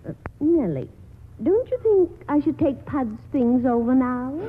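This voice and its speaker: An actress playing a spiteful, self-righteous meddling busybody in a 1940s radio drama, scolding and sharp-tongued. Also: female voice, sits high, animated, unhurried, husky, heavily acted. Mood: insinuating. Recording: muffled, tinny old radio broadcast.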